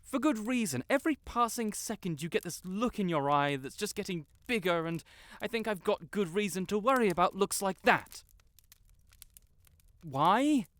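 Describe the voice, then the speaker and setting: A man playing a proud, flustered young wizard in an intimate fantasy audio roleplay, halting and defensive yet earnest. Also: high-pitched, lively intonation, fairly bright tone. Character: proud, stuck-up young male wizard, flustered tsundere best friend in love.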